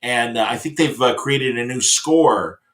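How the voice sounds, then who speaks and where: A man's voice: mid-range voice, unhurried, a bit bright. Middle-aged man hosting casual, rambling chat. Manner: rambling, hesitant phrasing. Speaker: talk show host.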